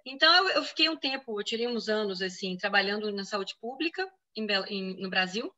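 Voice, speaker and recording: Bright chatty woman with a Minas accent. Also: medium pitch, fairly quick. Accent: Brazilian Portuguese, Minas Gerais. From Venezuela, raised in Brazil. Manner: chatty, lively, frequent "né?" tags. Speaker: physician guest. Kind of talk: casual interview answers.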